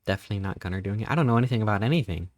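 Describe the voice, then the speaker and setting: A man in his thirties, a live streamer chatting casually and greeting viewers, rambling playfully with sudden squeaky jumps in pitch. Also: animated, rapid-fire, dry recording, clean studio sound. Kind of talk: casual live-stream chat reading chat messages.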